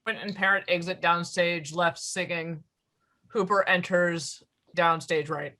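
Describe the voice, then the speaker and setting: A man's voice: high voice, fairly even intonation, unhurried, pushed and bright. A man reading stage directions as scripted narration at a table read.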